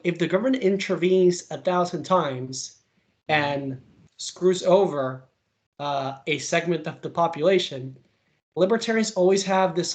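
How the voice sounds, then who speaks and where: A young man from Venezuela, a political commentator, answering interview questions in a casual, animated way with many fillers and quick bursts. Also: fairly high pitch.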